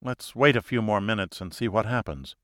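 A man's voice: lowish voice, fairly quick, a bit bright, dry recording, clean studio sound, close to an everyday voice. A man reading the part of a wry, capable, cautious wife and scientist in an audiobook. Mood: wary.

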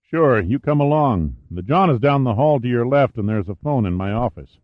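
A man's voice: mid-range voice, lively intonation, normal speed, natural voice. A man voicing a friendly, canny small-town veteran with rustic, ungrammatical speech in an audiobook. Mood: canny, eager. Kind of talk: audiobook character dialogue. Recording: dull, roomy, echoey sound.

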